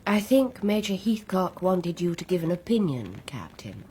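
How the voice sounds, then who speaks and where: An actress playing an arch, sharp, matchmaking society mother of middle age in a radio drama. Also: lowish voice, sing-song, normal speed, slight background noise. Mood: arch, commanding.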